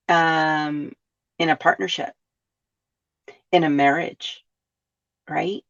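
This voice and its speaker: A woman from California hosting a reflective self-help podcast, often asking her listeners questions and adding "right?". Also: on the low side. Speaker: podcast host. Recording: dull lo-fi sound.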